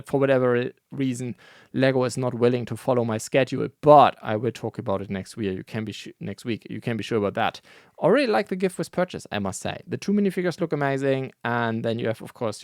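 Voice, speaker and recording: A man whose first language is not English, hosting a casual news roundup in a relaxed, rambling way, with many fillers and self-corrections. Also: mid-range voice, dry recording, studio quality. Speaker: host of a brick building news show.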